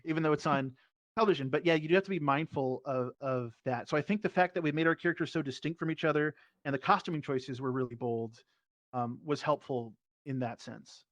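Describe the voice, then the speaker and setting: A man speaking casually as a performer on a panel, informal and somewhat halting. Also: a little high, no room sound. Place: virtual reality event, voice over personal microphone. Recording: dull, lo-fi dry sound.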